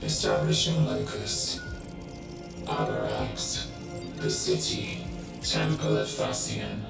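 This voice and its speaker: A man voicing an impassive machine spirit in a game, slow and halting, with a deep processed voice and distant echo. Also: monotone, vocal fry, exaggerated voice. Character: ancient-sounding, impassive machine spirit of a data terminal. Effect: deep pitched-down machine voice. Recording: distant, echoey, music behind.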